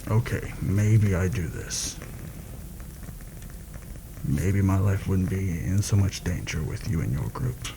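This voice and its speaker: A man playing a seasoned fantasy assassin in a soft-spoken ASMR roleplay, measured and deliberate, wary and proud. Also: sits low, noisy background. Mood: guarded interest.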